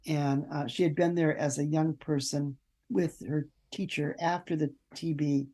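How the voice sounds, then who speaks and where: Woman, author guest, dark mellow tone, low pitch, conversational, halting delivery with pauses. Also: fairly even intonation. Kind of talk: conversational interview storytelling.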